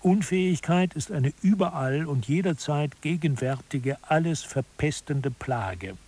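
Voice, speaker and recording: A disillusioned male author narrates a scripted audio drama like a wry essayist. His voice is slightly high for a man, with a husky grain. He phrases things articulately, as an observer of human failings. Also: unhurried, darkish tone. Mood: wry, resigned.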